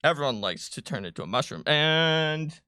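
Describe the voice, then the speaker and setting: A man's voice: fairly high pitch, expressive, normal speed, pushed and bright, clear, resonant tone, no echo, clean studio sound. A man hosting a livestream in casual chat, talking playfully as he thinks aloud through building a tabletop game character.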